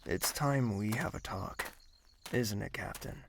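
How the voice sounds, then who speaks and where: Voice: mid-range voice, a little slow, noisy background, lightly acted. An actor playing a stern royal ruler in a fantasy audio drama, commanding and curt, expecting obedience. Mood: cold, questioning. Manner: authoritative, curt, imperious.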